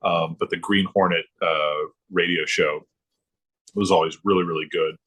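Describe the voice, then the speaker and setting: A middle-aged man chatting casually about his hobby as a podcast guest, haltingly, often circling back and repeating himself. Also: low-pitched, fairly even intonation, fairly bright tone, husky. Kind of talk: casual chat about comic books.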